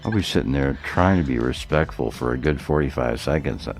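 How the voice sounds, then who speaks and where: Very deep gravelly man, wry. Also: expressive, a little fast, faint music behind. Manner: joking, wry asides. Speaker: podcast co-host.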